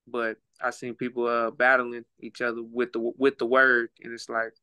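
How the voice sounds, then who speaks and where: A man's voice: mid-range voice, monotone, moderate pace, sharp tone, smooth, no echo. A man with an Oklahoma accent, talking as a guest on a casual podcast in a laid-back, reflective way, repeating words while he thinks. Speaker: guest, rapper and boxer.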